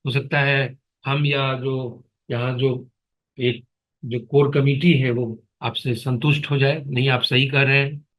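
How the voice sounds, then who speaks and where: A man's voice: medium pitch, fairly bright tone, rough recording. A man chairing a staff conference call in an authoritative, admonishing, questioning manner. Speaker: meeting chair, foundation leader.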